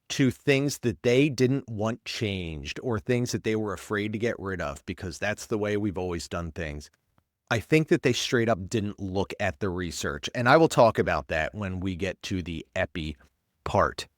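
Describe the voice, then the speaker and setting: Stuffy-nosed guy, lowish voice, rambling blunt podcast rant, dry close mic. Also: expressive, unhurried, no echo. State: congested, stuffed-up voice. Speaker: podcast host, paramedic. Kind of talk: casual solo commentary.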